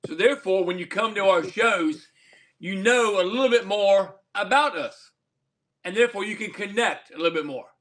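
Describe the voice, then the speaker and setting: A man's voice: high voice, normal speed, a bit bright. A man hosting a casual livestream chat, rambling and repeating himself as he wraps up. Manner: rambling, repeats words, laughs often. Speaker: livestream host.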